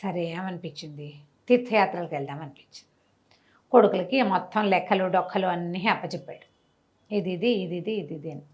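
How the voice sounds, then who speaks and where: A woman telling a moral story conversationally, with animated delivery, rhetorical questions and everyday examples. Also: lowish voice, fairly quick. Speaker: storyteller, interview guest.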